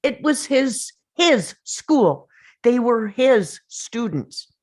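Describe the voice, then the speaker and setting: Woman playing grieving, confrontational father, swinging pitch. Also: sing-song, extremely slow, darkish tone, exaggerated voice. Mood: outraged. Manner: confrontational, emphatic, pressing with questions. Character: grieving, angry father of a murdered son. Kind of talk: dramatic script table read.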